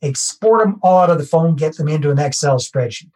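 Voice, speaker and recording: A Canadian real estate investor speaks in a husky, slightly raised voice. He talks casually and pokes fun at himself, and he comes back to the same key phrases as he lays out his method for a podcast host. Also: on the high side, fairly quick, a little mellow. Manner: conversational, self-deprecating, repeats key phrases. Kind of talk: podcast interview, explaining a process.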